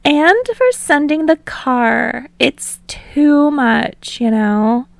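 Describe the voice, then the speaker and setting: A woman's voice: high voice, very slow, vocal fry, no echo, pitched above the performer's own voice, full character voice. A woman voicing a bubbly, casual young female band member who draws out her words in an audiobook story. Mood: grateful, chirpy.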